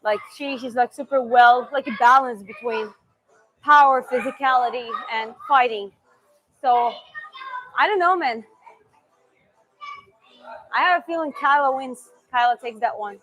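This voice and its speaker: A woman's voice: sits high, a little slow, long pauses, fairly bright tone, low-quality recording. A young woman with a Georgian accent, chatting loosely on a live stream, answering viewer questions and talking through moves with restarts. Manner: chatty, loose, with sound-effect "bum"s and restarts. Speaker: martial arts streamer. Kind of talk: live-stream Q&A and technique demo.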